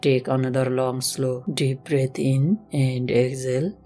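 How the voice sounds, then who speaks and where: A woman leads a guided meditation in a very deep voice with an even, nearly flat melody. She gives gentle, measured instructions as a yoga teacher would, with faint music behind her.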